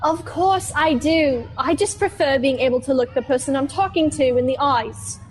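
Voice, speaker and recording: Young woman as sarcastic teen girl, high voice, blunt and grumbly, sci-fi radio play w/ faint music. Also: normal speed, close to an everyday voice, rough recording. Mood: irritated. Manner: sardonic, blunt.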